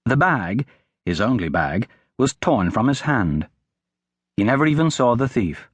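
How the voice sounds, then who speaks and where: A man narrates a fantasy audiobook with measured drama. His medium-pitched voice moves through a lively range of intonation. The sound is very dry, with no room around it. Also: husky, dry recording.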